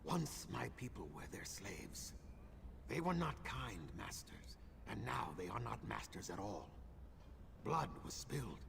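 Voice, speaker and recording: Gravelly lizard-folk healer. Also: male voice, lowish voice, big pitch swings, full character voice. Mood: solemn. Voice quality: gravelly, measured and solemn. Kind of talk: video game character dialogue. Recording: game audio over background noise.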